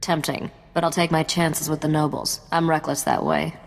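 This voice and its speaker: Low-voiced, worldly woman. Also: level tone, normal speed, creaky. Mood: wry, cool. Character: worldly, wry female contact, cool and self-assured. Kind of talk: video game cutscene acting. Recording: long reverb.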